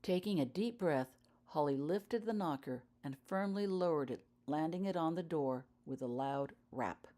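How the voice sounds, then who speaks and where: A woman's voice: sits low, lively intonation, normal speed, dark tone. A woman reading her own fiction aloud as audiobook narration, telling the story in character-focused prose.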